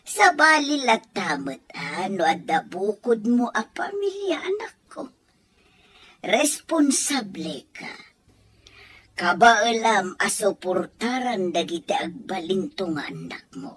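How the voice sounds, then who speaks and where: A woman playing a gentle, concerned elderly mother and grandmother in an audio story drama, with a husky, breathy voice. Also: mid-range voice, big pitch swings, slow, full character voice. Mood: gently concerned.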